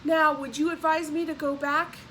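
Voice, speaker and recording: A woman narrator plays a desperate young runaway mother in a historical storybook. She speaks in a hushed, urgent way, yet stays resolute. Slight noise runs under the recording. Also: sits high, little pitch movement, moderate pace. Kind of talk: audiobook character voice.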